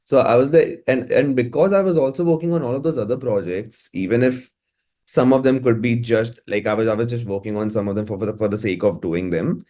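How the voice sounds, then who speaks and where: A man's voice: mid-range voice, a little fast, mellow. A man with an Indian accent chatting casually as an interview guest, informal and often repeating and restarting his phrases. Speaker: interview guest, musician and music producer.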